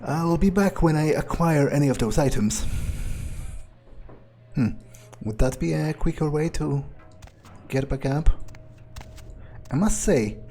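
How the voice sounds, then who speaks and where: A man's voice: on the high side, measured pace, pauses often, warm tone, faint music behind. A man role-playing his character through a video game, musing and thinking aloud in a hesitant way. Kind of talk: in-character let's play commentary.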